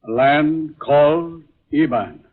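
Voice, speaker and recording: Excitable learned gentleman, tinny old radio. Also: fairly high pitch, slow pace, slightly rough. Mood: awed certainty. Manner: precise, theatrical, exclamatory. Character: excitable, learned older gentleman, Judy's father. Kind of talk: 1940s radio acting. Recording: thin, phone-like old radio recording.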